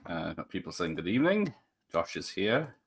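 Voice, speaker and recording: A man's voice: sits low, sing-song, measured pace, vocal fry, breathy edge. A man hosting an interview in a chatty, jokey way, reading out viewer names and asking a guest questions. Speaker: interview host. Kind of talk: casual interview chat.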